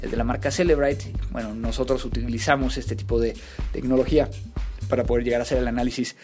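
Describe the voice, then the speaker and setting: A man's voice: mid-range voice, little pitch movement, fast, music in the background. A man, hosting a podcast and talking casually, with frequent fillers and restarts. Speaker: podcast host.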